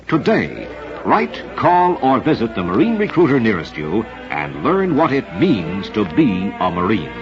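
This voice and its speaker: Deep-voiced, sweeping old-time American radio announcer. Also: male voice. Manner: emphatic, measured, formal. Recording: dull old radio transcription with music.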